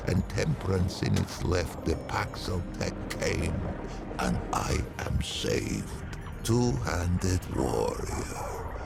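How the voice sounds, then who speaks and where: A huge-voiced beast warrior of fantasy gaming, extremely gravelly and very low. He speaks slowly, in solemn, archaic phrases, weary yet grateful. Loud music plays behind him. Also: heavily acted. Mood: awed, grateful. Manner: solemn, archaic and grandiloquent speech. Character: weary, grateful hoofed beast warrior, freed from long captivity. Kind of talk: theatrical fantasy game acting.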